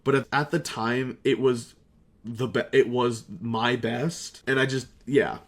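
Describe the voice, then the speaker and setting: A young man talks in a casual, rambling retrospective vlog. He is excitable and self-deprecating, swearing freely, with an ordinary mid-range voice. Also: medium pitch, unhurried. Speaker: video creator, amateur voice actor.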